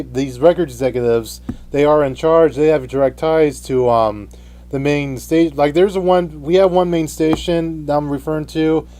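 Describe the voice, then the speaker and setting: A man hosting an unscripted radio debate, speaking haltingly and often restarting his phrases. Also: on the high side, mellow. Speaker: radio show host.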